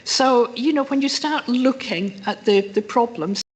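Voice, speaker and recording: A woman with a rough voice, speaking as a member of the public to a council meeting and answering questions. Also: a little high, unhurried, rough recording. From incomer to the town. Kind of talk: formal address to a council meeting.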